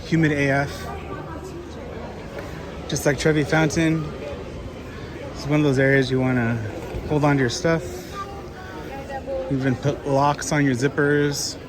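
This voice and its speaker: A man vlogging a walking tour outdoors on city streets. He is slightly hoarse from a cold and rambles casually, thinking aloud with lots of fillers. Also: fairly high pitch, steady tone, a little slow, long pauses, a crowd in the background. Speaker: travel vlogger. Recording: street noise behind the voice.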